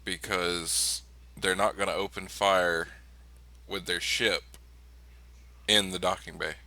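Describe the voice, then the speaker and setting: A man's voice: low voice, level tone, slow pace, sharp tone, no echo. A man talking casually as a player planning moves in a tabletop game.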